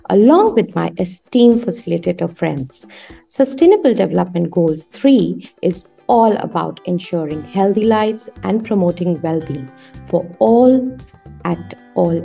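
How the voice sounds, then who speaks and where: A woman's voice: medium pitch, gravelly, dark tone, husky. A woman from India, a school coordinator and language teacher, giving an earnest, prepared introduction. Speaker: school coordinator and ESL educator.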